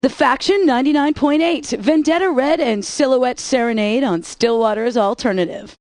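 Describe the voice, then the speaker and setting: A woman's voice: high voice, moderate pace, a little mellow, no room sound, close to an everyday voice. An actress playing a wry, upbeat alternative-rock radio DJ woman in a video game, introducing songs with sarcastic patter. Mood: dry, upbeat.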